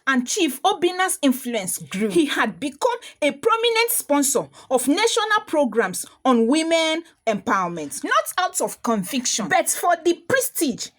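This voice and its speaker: Female storyteller, higher register, highly animated intonation, solemn and measured scripted narration.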